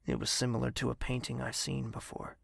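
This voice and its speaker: An actor playing a melancholic, lovelorn insomniac in a fantasy audio drama, narrating pensively in a hushed, slightly husky voice. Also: male voice, mid-range voice, little pitch movement, fairly quick, a little hiss or hum. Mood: melancholic, searching. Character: melancholic lovelorn insomniac man of a royal castle.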